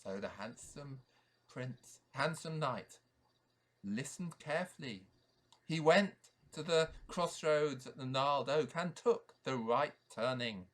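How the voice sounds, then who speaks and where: A man, a priest, tells a fairy tale in a dramatic storytelling manner with deliberate, repeated phrases. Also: medium pitch, expressive, a bit bright. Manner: dramatic, repetitive, deliberate emphasis.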